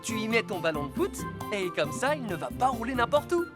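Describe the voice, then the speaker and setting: A woman's voice: fairly high pitch, sing-song, fairly quick, over loud music. A woman voicing a kind, sensible talking rescue car in a children's cartoon, instructive and caring. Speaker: safety teacher. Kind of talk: French dubbed children's cartoon acting.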